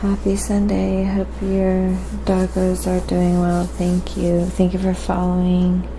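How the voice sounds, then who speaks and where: A woman hosting a casual painting livestream, chatting with viewers and thinking aloud in a relaxed, halting way. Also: fairly low pitch, noisy background. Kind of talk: casual livestream chat while painting, reading viewer comments.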